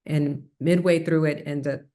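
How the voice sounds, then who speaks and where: A woman's voice: deep voice, fairly even intonation, a little slow, warm tone. A middle-aged woman with a slight New York-area accent, speaking thoughtfully in a conversational interview as a psychiatrist guest, with frequent fillers and restarts. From Puerto Rico, raised New Jersey and New York.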